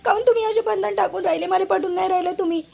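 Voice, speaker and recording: A woman's voice: very high voice, steady tone, rapid-fire, vocal fry, a little mellow, heavily acted. A woman voicing an outspoken, indignant young wife in a cartoon, arguing back in a Vidarbha Marathi accent. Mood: protesting. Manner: argumentative, rhetorical questions. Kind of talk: cartoon voice acting.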